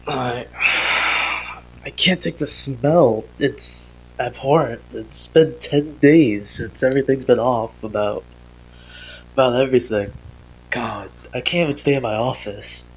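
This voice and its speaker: A man playing an earnest young father recording a confessional audio diary in a horror drama, speaking haltingly and hesitantly. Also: medium pitch, husky, poor audio. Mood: uneasy, shaken. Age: young adult. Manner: halting, hesitant, sighing, self-interrupting.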